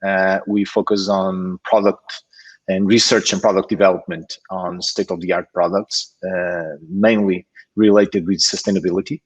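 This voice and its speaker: A man from Portugal speaks on an online panel over a video call. His voice sits low and his talk is halting, with frequent fillers and restarted phrases. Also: level tone, husky. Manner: hesitant, many fillers and restarts.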